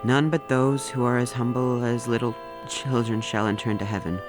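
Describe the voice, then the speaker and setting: A man playing an earnest son who reads scripture solemnly and a little haltingly in a radio drama. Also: mid-range voice, fairly even intonation, music in the background, natural voice. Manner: solemn, slightly stumbling reading. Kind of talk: old-time radio drama acting.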